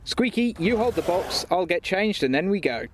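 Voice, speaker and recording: A man acting a frazzled, exasperated everyman in a comedy podcast drama. His voice runs high and swings widely as he protests in a flustered, put-upon way. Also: lively intonation, moderate pace, a little hiss or hum. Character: frazzled unemployed man, reluctant guardian of dimensions, exasperated.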